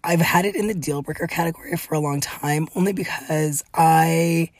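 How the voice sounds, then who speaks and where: Low, breathy, raspy-voiced woman. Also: normal speed, dry recording. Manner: chatty, laughs often, many fillers. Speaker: podcast host. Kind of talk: casual solo podcast monologue.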